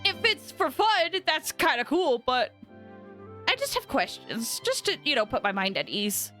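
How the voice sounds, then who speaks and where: Casting: female game streamer, high, lively, casual and reactive, music in the background. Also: measured pace. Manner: reactive, expressive. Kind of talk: live game commentary, casual.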